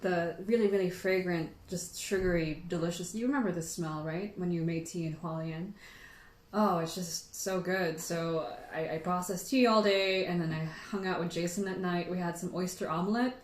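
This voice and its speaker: Echoey, animated woman chatting casually. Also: on the low side, moderate pace. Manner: animated, rambling, giggly, frequent "like". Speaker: livestream host. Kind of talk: casual storytelling chat to livestream viewers. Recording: echoey room.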